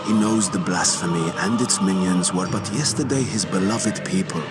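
Game warlord, played by a man: low voice, grave and stately, over loud score. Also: lightly acted. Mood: troubled, solemn. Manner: formal, grave, measured delivery. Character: solemn, commanding horned warlord of a burning isle. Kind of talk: fantasy video game cutscene acting. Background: loud orchestral music.

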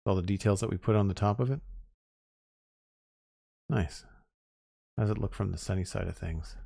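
Male streamer, low voice, slightly hoarse, relaxed chatty delivery, dry close sound. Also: normal speed, dry recording. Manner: relaxed, chatty, talks to chat. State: losing his voice. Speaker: Minecraft streamer. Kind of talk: casual live-stream chat while building.

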